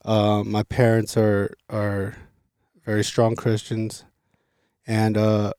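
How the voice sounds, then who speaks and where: Male interview guest, low voice, dark and warm, dry close sound, laid-back. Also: slow, clear, resonant tone, dry recording. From Korea, raised in Los Angeles. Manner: relaxed, repeats words while thinking. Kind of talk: casual interview.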